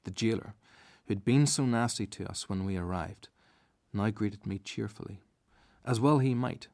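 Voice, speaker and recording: A man's voice: fairly low pitch, normal speed, creaky, darkish tone. An actor playing a reflective disciple who narrates his story in first person for a radio play.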